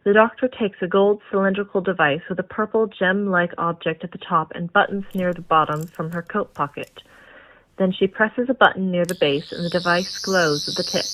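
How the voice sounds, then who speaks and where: A woman's voice: on the low side, fairly bright tone, low-quality recording. A woman reading scripted scene narration for an audio drama, describing action as a narrator.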